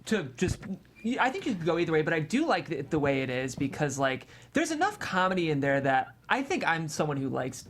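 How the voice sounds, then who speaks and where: Woman host, low pitch, lively intonation, informal interview chat, frequent "like". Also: a little fast, slight background noise. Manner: informal, peppered with "like".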